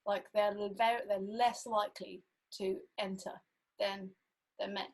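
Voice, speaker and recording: A woman's voice: medium pitch, level tone, measured pace. A woman drummer chatting casually on a podcast, thinking aloud with many fillers.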